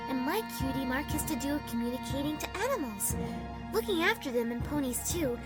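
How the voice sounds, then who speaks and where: A woman voicing a gentle, caring female pony who looks after animals, soothing and patient, in a fan audio drama. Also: high-pitched, expressive, fairly quick. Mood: encouraging. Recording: music under the voice.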